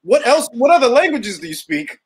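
A man's voice: very high-pitched, animated, a little fast, creaky. A man in his late thirties hosting a casual interview over a video call, loose and chatty. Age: 30s. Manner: loose, chatty, says "bro". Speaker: interview host. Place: small room indoors. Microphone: laptop webcam on video call.

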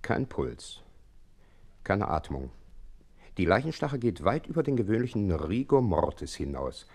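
An actor playing a proper, puzzled doctor who also narrates an audio drama in a formal, measured way. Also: male voice, lowish voice, sing-song, darkish tone, husky, full character voice. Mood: puzzled, clinical.

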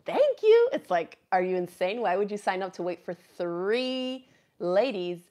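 A woman in her thirties from Miami, a native Spanish speaker, hosting a casual live craft chat, animated and quick to laugh, at a fixed camera in a small craft room. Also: medium pitch. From Miami, USA. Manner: chatty and animated, laughs often, reads viewer comments aloud. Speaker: craft channel host. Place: small indoor craft room with quilts on the wall.

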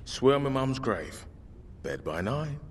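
An actor playing a cheeky, unflappable survivor in a game cutscene, with a wry, bantering delivery. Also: male voice, medium pitch, sing-song, measured pace, a little hiss or hum. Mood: cheeky, coaxing. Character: cheeky, roguish, unflappable man, a wounded survivor. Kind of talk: dramatic video game cutscene acting. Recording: music underneath.

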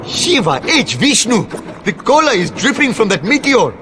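A man's voice: very high-pitched, very animated, normal speed, over loud music. A man voicing a fussy, polite Indian-accented shopkeeper in a cartoon game, with florid formal phrasing. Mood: excited.

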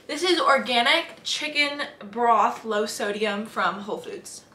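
Young woman, home cooking vlogger, bright voice, slangy and unscripted, roomy kitchen sound. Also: fairly high pitch, a little slow. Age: young adult. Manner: informal, slangy, thinking aloud. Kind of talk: casual vlog chat while cooking. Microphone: camera set up a step away. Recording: echoey room, faint noise, kitchen clatter.

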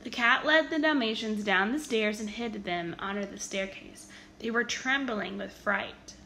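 Bright-voiced woman reading a storybook. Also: fairly high pitch, a little slow, vocal fry, a little hiss or hum, low-quality recording. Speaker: storybook reader. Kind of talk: expressive read-aloud narration of a children's story.

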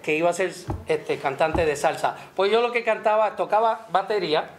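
New york guy chatting at a press table, pretty high voice, easygoing and humble. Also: moderate pace. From New York, USA. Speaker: salsa singer at a press conference. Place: indoor restaurant, seated at a table. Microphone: table microphones, later handheld mic.

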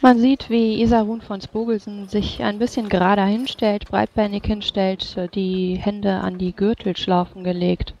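Casting: female role-player narrating actions, mid pitch, slightly muffled recording. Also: flat delivery, normal speed, few pauses, a little mellow. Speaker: tabletop game player. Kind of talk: third-person narration of character actions.

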